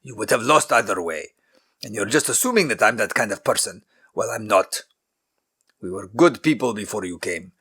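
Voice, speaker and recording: A man voicing an angry ancient king who speaks in a forceful, accusing way. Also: on the low side, expressive, moderate pace, breathy edge, full character voice. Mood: stern.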